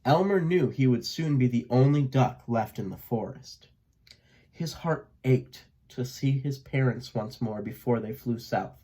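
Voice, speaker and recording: Casting: man for children's read-aloud narration, medium pitch, slow deliberate pacing, expressive delivery. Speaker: storybook reader.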